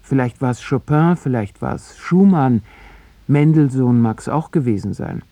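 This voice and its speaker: A man's voice: mid-range voice, lively intonation, a little slow, mellow, slight background noise. A man from Poland reciting a literary, wistful account of his childhood in a solemn, poetic manner. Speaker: narrator recalling childhood.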